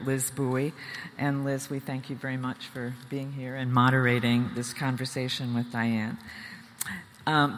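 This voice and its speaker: A man introduces the speakers at a library event in a formal, announcing manner. His voice sits at a middle pitch, and the hall adds a long reverb. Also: fairly bright tone. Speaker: event host. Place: large hall.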